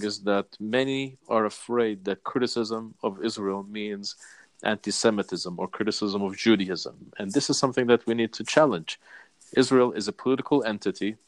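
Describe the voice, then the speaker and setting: A Palestinian man with a fairly low voice, talking in a measured, deliberate way and building his points in lists. The sound is close and dry, with no room around it. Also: no room sound. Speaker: interview guest. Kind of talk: earnest advocacy interview.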